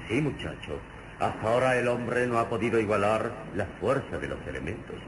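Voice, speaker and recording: An actor playing a calm, all-knowing male hero in a vintage radio serial, solemn and deliberate. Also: deep voice. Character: calm, all-knowing heroic male adventurer, mentor to a boy. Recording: noisy old radio serial recording.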